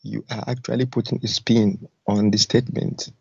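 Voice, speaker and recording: Husky, creaky man on muffled call. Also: lowish voice, flat delivery, normal speed, darkish tone, no room sound. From Nigeria. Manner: firm, explanatory, formal wording. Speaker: debate caller. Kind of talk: argumentative live debate. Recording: muffled lo-fi call, dry, clicks.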